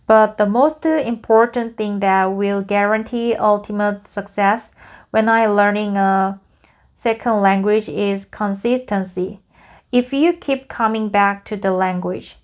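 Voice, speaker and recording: A woman with a Korean accent, an English learner reading a podcast script aloud for practice, carefully restarting and repeating phrases. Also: medium pitch.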